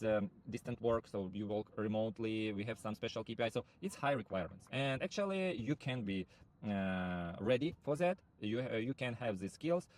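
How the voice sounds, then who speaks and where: A man whose first language is not English, giving a halting sales pitch for a rental platform, full of fillers and restarts. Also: a little mellow. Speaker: property platform founder recruiting sub-agents. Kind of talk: screen-share walkthrough pitch.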